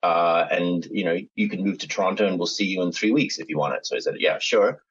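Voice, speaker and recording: A man's voice: low voice, fast talker, breathy edge, lo-fi sound. A middle-aged man with a mixed American, British and Australian accent, rambling through a podcast interview as a guest author, with many restarts. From United States. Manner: meandering, many restarts and fillers.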